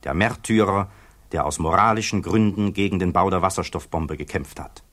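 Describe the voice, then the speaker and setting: A man narrating a documentary radio play as a sober, measured chronicler. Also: low voice, a bit bright.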